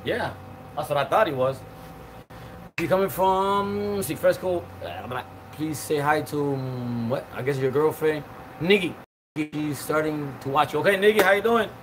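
A man's voice: fairly high pitch, a little slow, slight background noise. A man in his forties hosting a casual live stream outdoors, rambling through viewer comments with lots of fillers and restarts. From Cuban. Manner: chatty, rambling, many fillers and restarts, greets viewers by name. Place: outdoor patio with palm trees.

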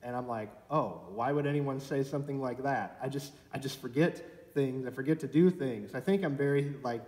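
A man's voice: medium pitch, normal speed, a little mellow. A man in his twenties preaching a sermon from a church pulpit, with a conversational, self-deprecating manner. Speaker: pastor. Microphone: far camera. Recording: echoey church with long reverb.

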